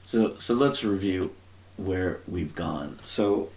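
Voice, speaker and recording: A man giving an informal, rambling Bible teaching, thinking aloud and often pausing to search for words. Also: low voice, a little mellow.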